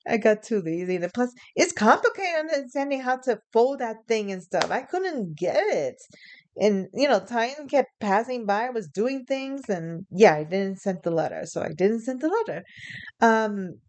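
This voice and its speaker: A woman's voice: a little high, very animated, normal speed, a little mellow. A young adult woman from New York telling a personal story in a casual, rambling way, chuckling and correcting herself often. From New York, USA. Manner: rambling, chuckling, many fillers and self-corrections. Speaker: vlogger.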